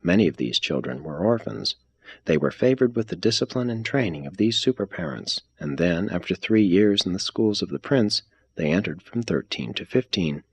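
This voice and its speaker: A man reading a religious text aloud as scripted narration, in a measured, formal manner. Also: low-pitched, lively intonation, husky. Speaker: reader.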